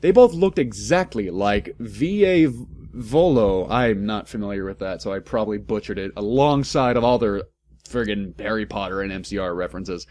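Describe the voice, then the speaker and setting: A man's voice: lowish voice, a little mellow. A man giving a comic, mock-serious dramatic reading of badly written fan fiction, keeping its mistakes and adding asides. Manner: mock-serious, deliberately reads out misspellings and mistakes.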